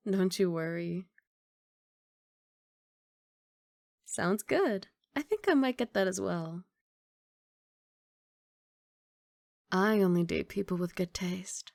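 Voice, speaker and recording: A woman's voice: mid-range voice, gravelly, dry recording, clean studio sound. A woman playing a confident, doting young mafia boss girlfriend in an intimate, teasing ASMR romantic roleplay. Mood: generous, confident. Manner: playful teasing, intimate one-sided conversation.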